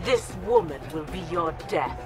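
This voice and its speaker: A woman's voice: on the low side, lively intonation, measured pace, over music. An actress playing a proud, defiant female warrior in a fighting game, stern and resolute.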